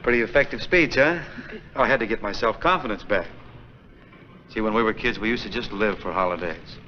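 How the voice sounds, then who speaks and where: An actor playing an easygoing, wry parish priest in a 1940s film, talking in a relaxed, joking way. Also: male voice, fairly low pitch, fairly quick, slight background noise, lightly acted. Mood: playful. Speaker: priest, new pastor. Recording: dull lo-fi 1940s film soundtrack.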